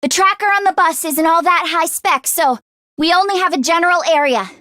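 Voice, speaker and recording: An earnest teenage girl fighter from a video game, pitched very high and recorded dry and close. She is anxious but tech-minded. Her delivery is expressive, with a hesitant stammer. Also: fairly quick, no echo. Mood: earnest, focused. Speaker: voice actress.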